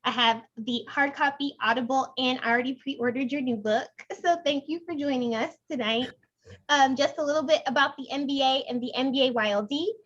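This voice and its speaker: A woman's voice: high voice, brisk, a bit bright, highs cut off. A young woman reading a formal scripted welcome and introduction as the chair of an online event. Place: indoors, online video meeting.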